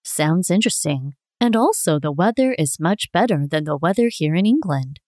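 A woman reading her parts in a scripted dialogue for a language listening test, clear and expressive. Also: fairly low pitch, normal speed, no room sound, clean studio sound.

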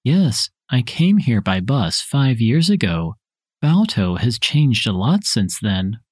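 Husky man reading a textbook dialogue. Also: mid-range voice, very animated, slow, darkish tone, no echo, clean studio sound. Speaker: dialogue reader for a listening test.

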